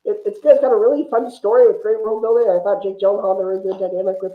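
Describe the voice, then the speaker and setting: A woman's voice: medium pitch, fairly even intonation, racing. A woman talking casually as a film podcast panellist, over a roomy, phone-like connection. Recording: roomy, phone-like narrow sound.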